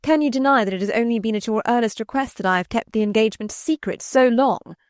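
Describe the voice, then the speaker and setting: Woman playing a gentleman suitor, storybook drama, formal, possessive, insistent emphasis, dry studio-like closeness. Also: a little high, quick pace, no room sound, voice pushed up, close to an everyday voice. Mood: challenging. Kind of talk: dramatised audiobook reading.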